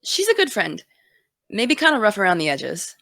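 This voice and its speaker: A woman's voice: medium pitch, huge pitch swings, normal speed, vocal fry, pushed and bright, no room sound, studio quality, heavily acted. A woman playing a wry, distracted young student. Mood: busy, chatty. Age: young adult. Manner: casual, wry. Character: driven, distracted college-bound young woman, dryly joking. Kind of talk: naturalistic audio drama dialogue.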